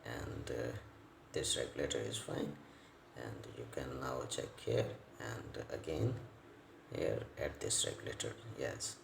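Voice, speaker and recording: A man giving an unscripted hands-on repair tutorial as a technician, speaking non-native English in halting, piecemeal phrases and repeating words. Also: a bass voice, creaky. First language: not English. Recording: roomy echo, faint background noise.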